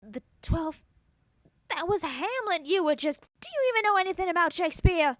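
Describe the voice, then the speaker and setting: A woman plays a sassy teenage goth girl with a high voice and a quick temper. She talks in sarcastic, folksy slang, in a dry close recording for a cartoon parody. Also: lively intonation, no room sound. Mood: exasperated. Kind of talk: abridged cartoon parody dub.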